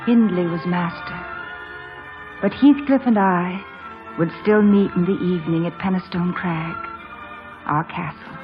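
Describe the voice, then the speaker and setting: An actress playing a proud, wilful, dreamy young gentlewoman in an old radio drama, with a yearning, theatrical manner. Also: lowish voice, unhurried, pauses often, lightly acted. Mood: wistful narrating. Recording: muffled old radio broadcast, faint music.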